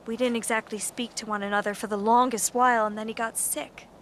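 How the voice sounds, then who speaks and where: An actress playing a guarded, grieving American daughter in a naturalistic radio drama. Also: female voice, fairly high pitch, steady tone, brisk, a bit bright, a little hiss or hum, lightly acted. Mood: subdued, reflective. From United States.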